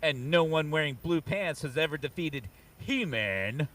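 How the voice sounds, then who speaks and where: A man's voice: on the high side, lively intonation, unhurried, a bit bright, recorded from a distance. Man playing a pompous barbarian hero, off-mic. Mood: boastful, combative. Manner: pompous, archaic heroic declaiming. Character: boastful, mighty barbarian male hero in a comic book. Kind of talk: dramatic comic book table read.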